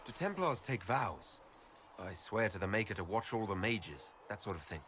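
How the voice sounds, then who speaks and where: A man's voice: on the low side, animated, moderate pace, background noise, lightly acted. An actor playing a courteous, inquisitive male adventurer who leads an order in a fantasy video game. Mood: light, teasing. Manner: inquisitive, gently teasing.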